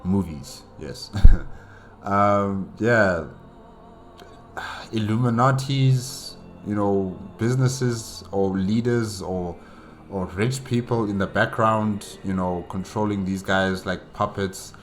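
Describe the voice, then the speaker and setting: A man hosting a solo reaction video, talking casually and haltingly, repeating himself for emphasis. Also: fairly low pitch, faint music behind. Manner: halting, repetitive, emphatic about doubts. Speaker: reaction channel host.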